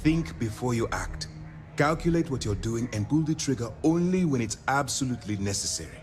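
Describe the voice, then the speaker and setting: An actor playing a stern, measured military commander in a video game, speaking with grave, deliberate authority. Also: male voice, mid-range voice, animated, natural voice. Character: stern, measured male military commander briefing an undercover operative. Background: background music.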